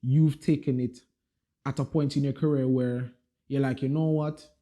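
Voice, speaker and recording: A man's voice: medium pitch, normal speed. A man hosting a music podcast, introducing his guests with hype and street slang. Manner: lively, hyped introductions with slang. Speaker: podcast host. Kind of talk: casual podcast chat.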